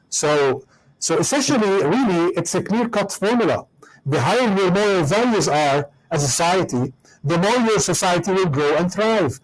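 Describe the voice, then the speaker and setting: A man's voice: fairly high pitch, rough recording. A man, a guest in a conversation, arguing his point emphatically and doubling words as he starts. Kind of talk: conversational argument.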